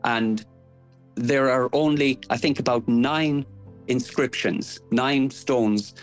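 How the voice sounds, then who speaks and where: Older man, Irish accent, medium pitch, scholarly local history talk, digressive, detail-minded. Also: slow, faint music behind. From Ireland.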